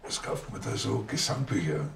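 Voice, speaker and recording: Naive Bavarian police officer, deep creaky voice, guileless, screen comedy. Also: male voice, lively intonation, moderate pace, slight background noise. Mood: naively curious.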